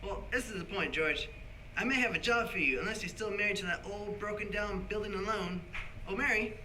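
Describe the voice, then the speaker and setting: A man's voice: high-pitched, brisk, sharp tone, slight background noise, low-quality recording. An actor playing a brash, jovial young businessman, breezy and boastful, in a 1940s-style radio play.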